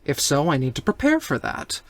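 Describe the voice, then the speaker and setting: A man's voice: a little high, huge pitch swings, fairly quick, dry recording, voice pushed down. A man voicing a female doctor who is clinical and businesslike with an older-sister manner, in a tabletop game. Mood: excited, probing.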